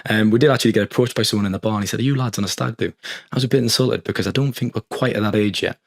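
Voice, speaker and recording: An English man hosting a football podcast, friendly and teasing, his voice slightly hoarse. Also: lowish voice, rapid-fire. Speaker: podcast host. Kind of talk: casual podcast chat.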